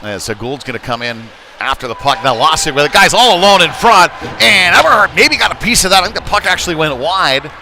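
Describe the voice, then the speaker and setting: A man's voice: fairly high pitch, animated, brisk, pushed and bright. A man giving live play-by-play commentary of a youth ice hockey game. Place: indoor ice rink. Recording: noisy rink ambience, bouncing knocks.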